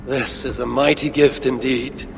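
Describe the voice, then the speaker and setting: An actor playing a grim, vengeful Norse god in a video game, solemn and formal with a gravelly voice. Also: male voice, medium pitch, steady tone, a little slow, a little hiss or hum. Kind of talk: dramatic video game acting.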